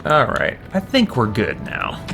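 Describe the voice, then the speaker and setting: A man's voice: fairly low pitch, wildly swooping pitch, measured pace, creaky, over music. A man hosting a gaming video with casual commentary, thinking aloud as he talks to himself.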